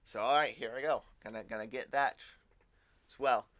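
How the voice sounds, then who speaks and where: A man's voice: medium pitch, moderate pace, fairly bright tone. A man casually commentating on a live game stream, repeating words and thinking aloud as he goes.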